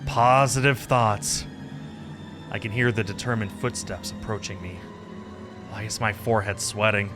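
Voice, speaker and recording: A man reading a visual novel game aloud as a streamer, with expressive narration and casual asides. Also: lowish voice, moderate pace, over music.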